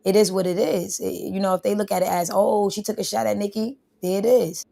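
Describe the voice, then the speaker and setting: Gravelly, flat-voiced New woman rapper. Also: medium pitch, fairly quick. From New York. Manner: rambling, relaxed, many fillers. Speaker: rapper being interviewed. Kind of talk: interview answers.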